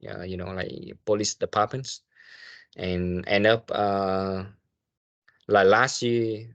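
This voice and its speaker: A man, speaking haltingly as he answers questions in an online meeting as a guest investigator. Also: deep voice, creaky, highs cut off. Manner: halting, hesitant phrasing.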